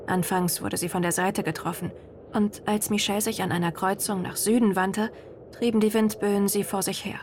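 A woman reading a passage from a novel in a steady narrative voice. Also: mid-range voice, normal speed, noisy background. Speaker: reader. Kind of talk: audiobook-style reading.

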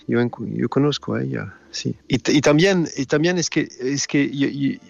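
Halting French-accented man, dull sound. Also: medium pitch, creaky, no room sound. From Switzerland. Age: middle-aged. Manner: halting, searching for words with repetitions. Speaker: film director, interview guest. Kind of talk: conversational interview answers.

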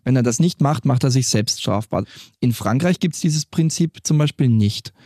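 A man who once led a police patrol group, explaining at some length in a studio interview and pausing now and then to find his words. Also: mid-range voice, lively intonation, darkish tone, no room sound.

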